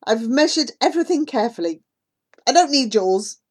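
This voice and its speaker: A woman's voice: sits high, animated, moderate pace, voice pushed up. A woman playing a stubborn, upset young girl. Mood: distraught. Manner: emotional, outbursts, trembling.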